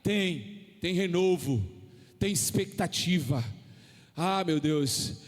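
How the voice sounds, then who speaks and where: A man in his forties preaches with a very high voice and a Brazilian Portuguese accent. He stretches his emphatic phrases out with passion. His voice rings through a church hall with a long tail of reverb. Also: takes it slowly. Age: 40s. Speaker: pastor. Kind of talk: sermon.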